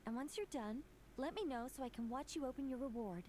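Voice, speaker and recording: Playful female mage, high voice, theatrical, wry, unbothered, game audio behind. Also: animated, brisk, a little mellow, a little hiss or hum. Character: grand, playful, self-possessed female mage hostess, teasing and unbothered. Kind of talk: video game character acting.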